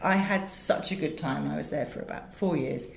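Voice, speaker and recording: A woman's voice: deep voice, moderate pace, vocal fry, slight background noise. A middle-aged British woman chatting articulately and emphatically as an interview guest, telling anecdotes about her work. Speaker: interview guest, audio director and drama school teacher. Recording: roomy, echoey indoor sound.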